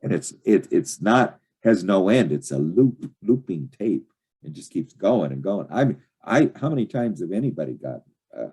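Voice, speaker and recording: An older man giving an informal study-group lecture, reading and commenting in a halting, digressive way with unfinished sentences. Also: deep voice, warm tone, husky.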